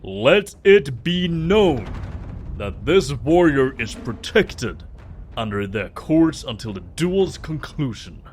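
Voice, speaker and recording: A man's voice: medium pitch, very animated, slow, a little hiss or hum. A man playing a solemn vampire court ruler in an audio drama, with grand, formal, archaic delivery. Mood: ceremonial. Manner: grand, stately, archaic diction.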